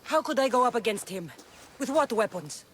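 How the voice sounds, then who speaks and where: A woman's voice: a little high, expressive, moderate pace, a little hiss or hum, natural voice. An actress playing a tough, sceptical young female mercenary in a video game cutscene, dry and sardonic. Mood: frustrated. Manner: dry, sardonic, plain-spoken.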